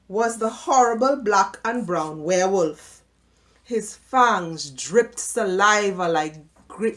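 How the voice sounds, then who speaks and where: Slow-paced woman reading a story aloud. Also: medium pitch. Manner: measured, dramatic storytelling delivery. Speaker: story reader. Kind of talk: expressive read-aloud of a first-person children's story.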